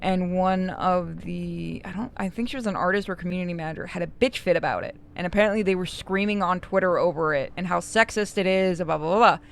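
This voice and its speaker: A woman streaming a game and chatting casually with friends, blunt and quick to swear. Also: lowish voice, gravelly, a little hiss or hum. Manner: blunt, profane, gossipy. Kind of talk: casual gaming stream chat.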